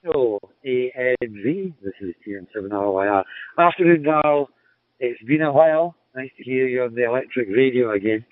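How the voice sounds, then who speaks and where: Scottish male radio operator, chatty and amiable, mid-pitched, boxed into a dry, phone-like radio channel. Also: lively intonation, brisk, no room sound. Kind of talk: casual radio contact. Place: in a car. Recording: tinny, narrow-band digital radio link.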